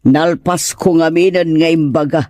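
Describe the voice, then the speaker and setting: A woman's voice: low voice, steady tone, moderate pace, dark tone, no room sound, clean studio sound, natural voice. A woman with a Filipino Ilocano accent acting a proud, disapproving middle-aged mother in an audio drama, haughty and complaining. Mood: scheming disapproval. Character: disapproving, proud well-to-do mother opposing a wedding. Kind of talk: radio drama acting in dialogue.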